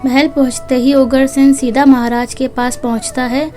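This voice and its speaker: A woman's voice: high-pitched, flat delivery, normal speed, mellow. A woman narrating a children's moral story from a script, as a storyteller. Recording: music behind the voice. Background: background music.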